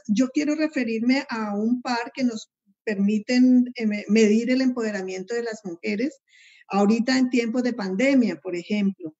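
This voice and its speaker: A Colombian woman with a fairly high, mellow voice speaks as an expert on a webinar panel. She thinks aloud, pausing on fillers and repeating words. Her audio is dull and lo-fi over a video call.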